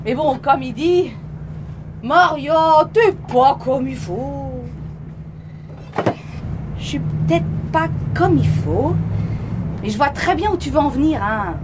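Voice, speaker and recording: An actress playing a blunt, self-doubting woman in her thirties, speaking in colloquial, halting phrases with bitter asides, recorded outdoors at a street show. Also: high voice, lively intonation, very noisy. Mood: wounded. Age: 30s. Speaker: stage actress. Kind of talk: street theatre monologue. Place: outdoors at a street arts festival.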